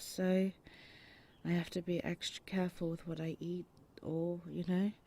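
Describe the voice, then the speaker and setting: A young adult woman voicing a shy, sweet roommate in an ASMR audio roleplay, speaking softly and hesitantly with breathy whispered asides. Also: fairly low pitch. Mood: soft, rambling. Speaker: voice actor.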